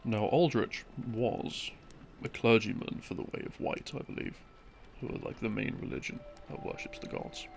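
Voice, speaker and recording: A man playing an easygoing boyfriend who tells rambling bedtime game lore in a casual, unhurried, conversational way. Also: fairly low pitch, sing-song, gravelly. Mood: relaxed storytelling. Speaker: audio roleplay performer. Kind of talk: rambling bedtime storytelling, sleep-aid roleplay audio. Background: background music.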